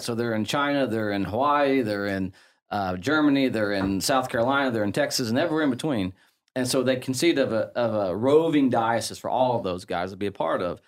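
A middle-aged man with an English Midlands accent, a priest explaining things in relaxed conversation, full of fillers and restarts. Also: mid-range voice. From England. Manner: chatty, many fillers and restarts, laughs easily. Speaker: priest guest.

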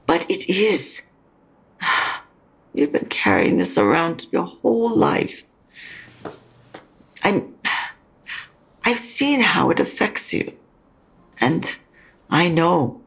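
An actress playing a frank, consoling older mother near death in an audio drama, speaking slowly and haltingly with a husky, creaky voice. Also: lowish voice, sing-song, a bit bright, full character voice. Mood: consoling, earnest. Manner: slow, halting, probing. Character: dying mother, frank, consoling, stubbornly insistent.

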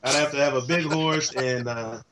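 A man co-hosting a sports podcast, a little hoarse from travel, telling stories enthusiastically in casual chat with lots of fillers. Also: mid-range voice, moderate pace, background noise. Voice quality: slightly hoarse. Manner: animated, enthusiastic storyteller with many fillers. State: voice worn from travel. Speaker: podcast co-host.

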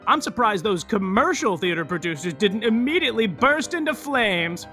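An actor playing a theatrical, wry narrator of a comic serial, slipping from grand announcing into offhand asides. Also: male voice, sits high, big pitch swings, normal speed, bright, forceful tone, exaggerated voice. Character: theatrical, wry, self-aware male narrator of a Broadway saga. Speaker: narrator of a serial comedy podcast. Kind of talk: comic scripted narration addressed to listeners. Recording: faint music behind the voice.

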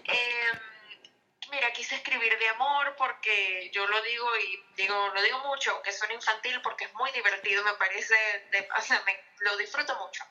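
A young Venezuelan woman speaks with a Venezuelan Spanish accent and a very bright, sharp tone. She is a novelist answering radio interview questions. Her talk is chatty and full of fillers. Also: fairly high pitch, steady tone, moderate pace, poor audio. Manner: chatty, lots of fillers, laughs often. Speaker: novelist guest.

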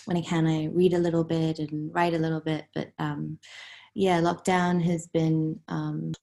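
Soft-spoken gravelly-voiced woman guest. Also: low-pitched, level tone, a little slow. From Hong Kong. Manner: hesitant, soft-spoken, many ums, drops into whispers. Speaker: novelist, interview guest. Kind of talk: casual interview.

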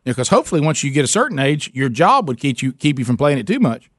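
A man's voice: medium pitch, big pitch swings, quick pace, no room sound. Male talk radio host, dry studio sound. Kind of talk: conversational call-in talk radio.

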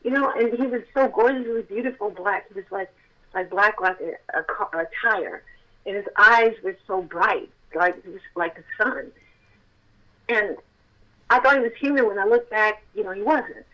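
An actress with a childlike voice telling a personal story with feeling, at times close to shouting. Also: female voice, medium pitch, normal speed, muffled recording. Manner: animated, swells to near shouting at intense moments. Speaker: actress telling her testimony.

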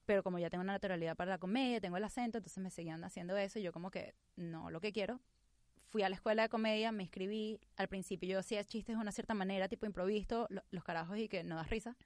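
A young woman with a Venezuelan accent talks at a rapid clip, lively and full of stories. Her voice sits at an average pitch and is recorded close and dry, with no room sound. She slips English phrases into her talk. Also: dry recording. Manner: animated, mixes English phrases, quick storytelling. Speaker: guest, actress and comedian. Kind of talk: casual interview chat.

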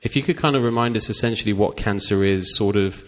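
Deep-voiced man hosting a podcast. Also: fast talker, fairly bright tone. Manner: casual, chatty, many fillers and asides. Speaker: podcast host, veterinarian. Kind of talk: podcast interview.

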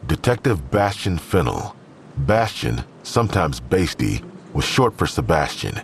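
A man's voice: very deep voice, very animated, unhurried, slight background noise. A man narrating a scripted crime audio drama in the third person.

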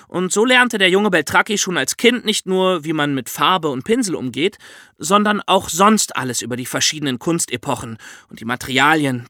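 A man co-hosting a podcast in a lively, conversational style, telling things in a chatty, colloquial way. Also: a little high, no room sound, studio quality. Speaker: podcast co-host.

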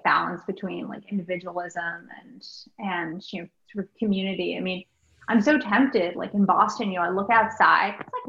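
A middle-aged American woman, a guest doctor answering interview questions in a thoughtful, halting, conversational way. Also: medium pitch. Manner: thoughtful, halting, peppered with like and you know. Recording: roomy, dull lo-fi remote recording.

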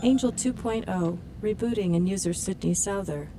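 A female digital assistant in an audio drama, acted by a woman, with music clearly behind her. She speaks formally and smoothly, always helpful and polite. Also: medium pitch, normal speed, natural voice, rough recording. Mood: polite, welcoming. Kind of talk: audio fiction acting.

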